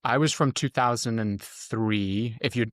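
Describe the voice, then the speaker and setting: A middle-aged man from the UK answering interview questions conversationally, explaining things with frequent pauses. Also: medium pitch, fairly bright tone, no echo, clean studio sound. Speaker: guest, former Army Ranger medic.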